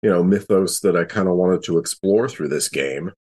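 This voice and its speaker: A man's voice: low-pitched, moderate pace, darkish tone, breathy edge. A man talking casually on a podcast panel, explaining things in a chatty way.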